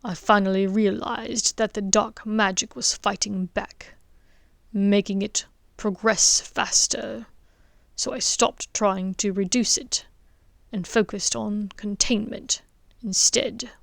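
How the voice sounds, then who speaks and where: A woman reading the part of a stern, precise male wizard, speaking slowly in a low, hushed way. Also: fairly even intonation, creaky, no echo.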